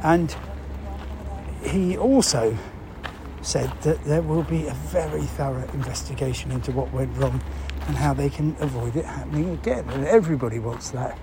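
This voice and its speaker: A man explains a news story in unscripted, halting talk, thinking aloud and posing rhetorical questions. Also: medium pitch. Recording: clear background noise.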